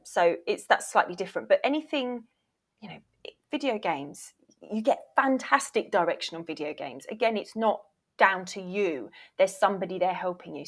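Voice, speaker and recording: Emphatic, informal woman giving advice. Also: lowish voice. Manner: emphatic, informal, mildly sweary, acts out imagined quotes. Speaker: guest, voiceover reel producer and former agent. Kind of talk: animated conversational advice in an interview.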